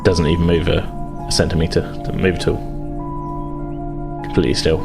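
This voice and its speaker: Low-pitched man running a game. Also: flat delivery, fairly quick, creaky, over music. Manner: hedging, conversational, explanatory. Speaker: game master. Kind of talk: improvised narration of a tabletop game.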